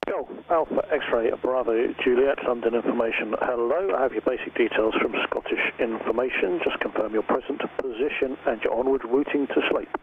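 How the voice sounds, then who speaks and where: Male air traffic information officer, mid-pitched, procedural phrasing through phone-like radio. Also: fairly quick, hardly any pauses. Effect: aviation radio. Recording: narrow, noisy aviation radio transmission.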